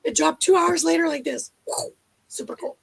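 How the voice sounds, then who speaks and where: Gravelly, very high-pitched Canadian woman. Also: dry recording, poor audio. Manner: playful, teasing, quick to joke. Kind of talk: casual group chat.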